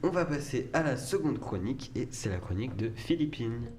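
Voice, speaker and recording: Young male host, mid-pitched, friendly and loosely improvised. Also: expressive, brisk, a little mellow, faint music behind. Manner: affable, hesitant, playful teasing. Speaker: podcast host. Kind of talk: hosting a podcast.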